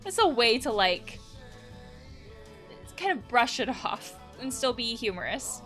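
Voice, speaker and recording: A woman's voice: a little high, sing-song, measured pace, a bit bright, soft background music. A woman hosting a casual let's play, reading game text aloud and adding chatty asides as she reacts. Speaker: host of a video game let's play, therapist.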